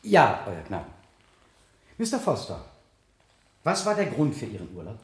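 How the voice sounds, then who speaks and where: A man's voice: mid-range voice, huge pitch swings, moderate pace. An actor playing an insistent male prosecutor, questioning in a pointed, theatrical way in a German radio play. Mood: probing, formal. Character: insistent male prosecutor cross-examining a defendant. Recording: echoey studio courtroom, older radio production.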